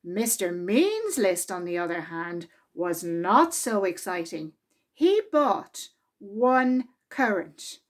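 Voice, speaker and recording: A woman reading a children's story aloud in an expressive, deliberate way, drawing out key words for emphasis. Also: on the high side.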